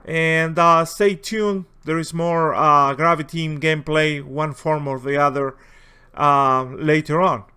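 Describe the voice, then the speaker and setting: A man with a heavy Spanish accent, a native Spanish speaker, giving casual, unscripted commentary as a video host in a hesitant, rambling, halting way. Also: a little high, steady tone, sharp tone, smooth. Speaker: video host, war-gaming enthusiast.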